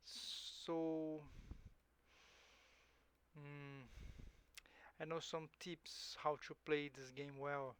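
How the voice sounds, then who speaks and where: Slow, musing man, Portuguese native. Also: fairly high pitch, little pitch movement, fairly bright tone, a little hiss or hum, low-quality recording. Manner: slow, musing, hesitant, self-correcting. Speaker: game streamer. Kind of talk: thinking aloud while playing.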